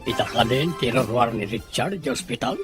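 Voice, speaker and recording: A man's voice: mid-range voice, animated, moderate pace, a bit bright, faint music behind, close to an everyday voice. A man with a Filipino accent playing a stern, critical middle-aged father in an audio drama. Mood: questioning.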